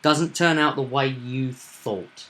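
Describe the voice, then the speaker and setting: A man's voice: mid-range voice, slow, pushed and bright. A man hosting as game master, chatting casually about a tabletop roleplaying game and often repeating himself with tag questions.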